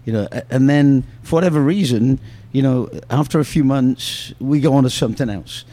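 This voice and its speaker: A man's voice: medium pitch, normal speed, dark tone. A man, a youth soccer coach, talking reflectively in a casual podcast with many fillers. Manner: reflective, joking, filler-heavy.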